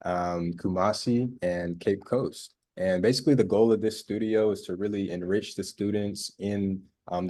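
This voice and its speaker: Low-voiced male student presenter on a video call, relaxed and filler-heavy.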